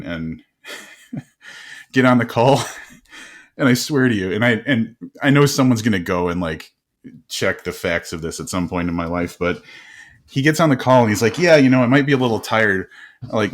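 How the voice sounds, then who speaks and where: A man hosting an interview podcast, telling quick stories in a casual, animated way with frequent laughs and restarts. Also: fairly low pitch. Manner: animated storyteller, laughs often, many restarts. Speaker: podcast host.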